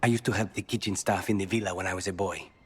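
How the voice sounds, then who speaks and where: An actor playing a dry-witted, brooding assassin in a fantasy game, understated and deadpan. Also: male voice, sits low, quick pace, breathy edge. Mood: wry.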